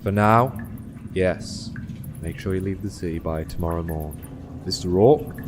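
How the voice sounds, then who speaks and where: An actor playing a stern, commanding general with a measured, sardonic manner in a fantasy audio drama. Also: male voice, low voice. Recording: clear background noise.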